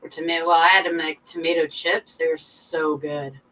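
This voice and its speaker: A woman's voice: sits low, flat delivery, normal speed, bright, forceful tone. A woman chatting casually as a guest on a livestream, heard through distant-sounding call audio. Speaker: guest in the live chat. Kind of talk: casual chat.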